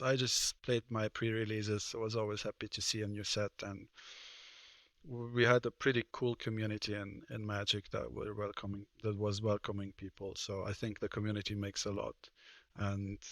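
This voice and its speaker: A man's voice: fairly low pitch, pushed and bright, no echo. A man in his thirties from Switzerland, a guest on a podcast talking casually and hesitantly, with many fillers and restarts. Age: 30s. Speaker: guest, personal trainer and card game player. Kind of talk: casual interview talk.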